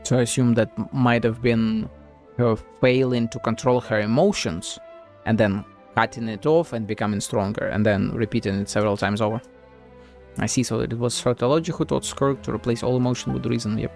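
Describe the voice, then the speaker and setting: A man's voice: mid-range voice, fairly even intonation, a little fast, vocal fry. A man streaming a game, thinking aloud in casual playthrough commentary. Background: soft game music.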